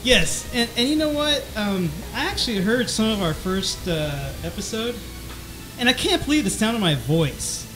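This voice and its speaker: Co-host, male, light trebly voice, halting and self-mocking, music faint underneath. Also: high voice, sing-song, poor audio. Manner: hesitant, many restarts. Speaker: podcast co-host, bass player. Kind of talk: casual chat.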